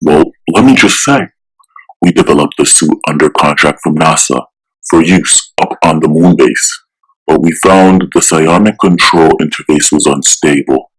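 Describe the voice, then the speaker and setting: A man voicing an arrogant, menacing billionaire villain in a pompous, deliberate, threatening way for a comic-book drama. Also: extremely low pitch, a bit bright, rough recording. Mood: smooth, dismissive.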